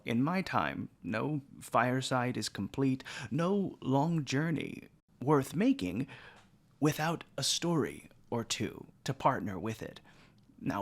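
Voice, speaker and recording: A man playing a genteel, erudite eighteenth-century gentleman who hosts a podcast with courtly, theatrical phrasing. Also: mid-range voice, no room sound. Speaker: podcast host. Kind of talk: formal podcast introduction and interview.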